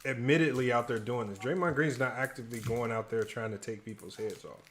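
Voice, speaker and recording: A man hosting a casual online sports debate, arguing calmly and reading viewer comments aloud from a home streaming mic. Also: mid-range voice, brisk. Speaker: sports debate show host.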